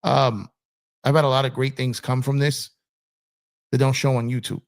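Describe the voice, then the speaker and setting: A man's voice: mid-range voice, flat delivery, moderate pace, gravelly. A man hosting a casual livestream, chatting loosely and reading viewer comments, rambling with many fillers and repeats. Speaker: livestream host. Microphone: streaming setup in a gaming chair.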